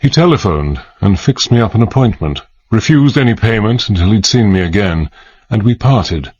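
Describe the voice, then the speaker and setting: An actor playing a British gentleman adventurer, dry and weary, in a radio drama that he also narrates in the first person. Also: lowish voice, lively intonation, normal speed, slightly rough, muffled recording. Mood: reflective narration.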